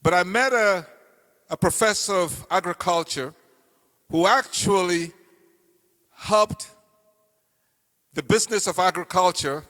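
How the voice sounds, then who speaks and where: An older man from Cameroon giving an earnest campaign speech, telling his life story with slow, deliberate emphasis. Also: high voice, a bit bright. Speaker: political candidate speaking at a meeting. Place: meeting hall. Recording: reverberant hall.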